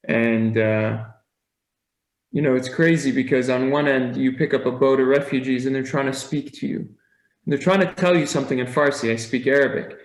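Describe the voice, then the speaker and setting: A man's voice: mid-range voice, reverberant, low-quality recording. A man speaking as a podcast guest, telling his stories earnestly and reflectively in casual conversation. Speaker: podcast guest, nurse anesthetist. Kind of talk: casual interview storytelling.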